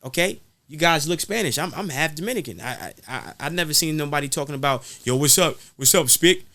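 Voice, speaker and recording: A man's voice: a little high, expressive, fairly quick, pushed and bright, dry recording. A man speaking in an impassioned, preachy podcast style, repeating phrases for emphasis. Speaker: guest rapper being interviewed.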